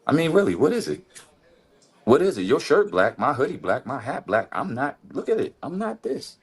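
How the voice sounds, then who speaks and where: A man's voice: very animated, moderate pace, slightly rough. A man co-hosting a podcast, talking in an impassioned, casual way and firing off rhetorical questions. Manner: impassioned, rhetorical questions, swings to high exclamations. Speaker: podcast co-host. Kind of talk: casual opinion talk.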